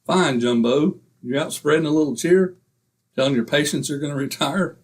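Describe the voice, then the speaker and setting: An actor playing a boastful, wisecracking husband in a 1940s-style radio comedy. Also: male voice, on the high side, normal speed, natural voice. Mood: brash. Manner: brash, wisecracking. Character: boastful, blustering middle-aged husband.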